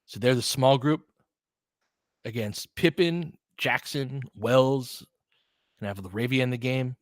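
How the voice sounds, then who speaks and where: A man's voice: mid-range voice, a little slow, no room sound, clean studio sound. A man doing basketball play-by-play commentary in clipped phrases, with informal asides and casual analysis.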